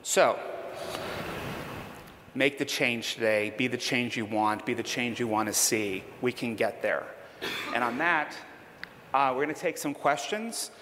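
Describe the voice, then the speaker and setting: A man at a conference lectern, pressing his points home with emphatic, persuasive conviction. His voice sits at an ordinary middle pitch and carries a long hall reverb, with a faint hum of noise behind it. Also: a little slow, pauses often. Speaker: conference presenter. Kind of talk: presentation and audience Q&A.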